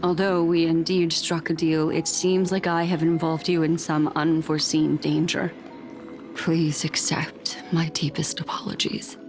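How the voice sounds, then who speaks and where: Mysterious, gracious lady, very low and even in pitch, formal and soft-spoken, game dialogue. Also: unhurried, over music. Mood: grave, courteous. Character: composed, mysterious, courteous woman with measured grace. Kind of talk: video game voice acting.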